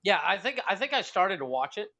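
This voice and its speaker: A man's voice: sits high, very animated, a little fast, sharp tone. A man co-hosting a podcast, chatting loosely, laughing and swearing freely; his first language is Spanish. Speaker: podcast co-host. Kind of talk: casual profane banter.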